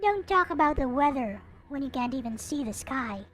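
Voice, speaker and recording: A woman's voice: high voice, lively intonation, normal speed, a bit bright. A woman voicing a bitter, reclusive young patient who is curt and hostile in a visual novel. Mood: irritated.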